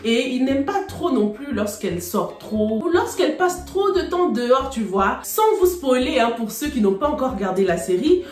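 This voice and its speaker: A woman's voice: high voice, very animated, moderate pace, few pauses, echoey room, soft background music. A woman in her thirties from Cameroon with a Cameroonian French accent, talking straight to her viewers in a chatty, emphatic review. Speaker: series reviewer. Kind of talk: casual video review. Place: small indoor room with bookshelves.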